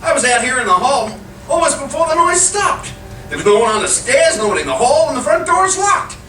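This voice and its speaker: Actor as animated, exasperated young husband. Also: male voice, extremely high pitch, brisk, full character voice. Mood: flustered skeptic. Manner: theatrical, stammering when flustered. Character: exasperated young skeptical husband selling a house. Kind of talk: live old-time radio play acting. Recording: echoey distant stage recording with noise.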